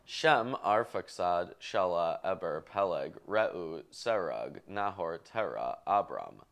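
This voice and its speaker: A man hosting a show, reading scripture aloud in a deliberate, list-like way with casual asides. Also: low-pitched, expressive, vocal fry, a bit bright. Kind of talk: halting read-aloud of Bible genealogy with casual asides.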